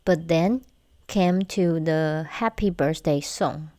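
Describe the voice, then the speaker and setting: A young woman from Taiwan, hosting a casual solo podcast and telling stories slowly with light humor. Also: sits low, over loud music. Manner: slow, measured storytelling with light humor. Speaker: podcast host.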